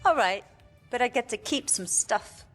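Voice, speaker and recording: A woman's voice: fairly high pitch, animated, moderate pace, faint music behind. A woman voicing a guarded, greedy adventuress companion in a video game, blunt and offhand, with long reverb.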